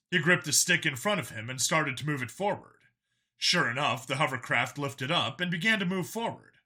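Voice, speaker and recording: Bright, forceful male story narrator. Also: a little high. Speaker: audiobook narrator. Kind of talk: scripted dramatic story narration.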